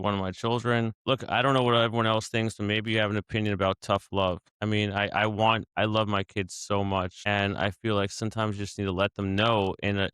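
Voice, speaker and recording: A man's voice: on the low side, fairly bright tone, clean tone, no echo. A man casually reflecting to his viewers about anger and daily life, talking in a searching, conversational way with many asides and restarts. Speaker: vlogger sharing daily reflections.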